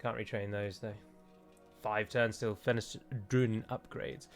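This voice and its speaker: A man's voice: on the low side, level tone, measured pace, faint music behind. A man giving casual gameplay commentary, chatty and self-mocking, with jokey asides. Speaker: let's play host.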